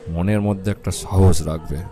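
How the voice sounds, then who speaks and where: Deep-voiced fatherly senior railway officer. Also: fairly even intonation, warm tone. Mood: fatherly, earnest. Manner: formal, measured, peppers speech with English. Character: senior railway officer, fatherly, courteous older male mentor. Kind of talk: dramatised audio story dialogue.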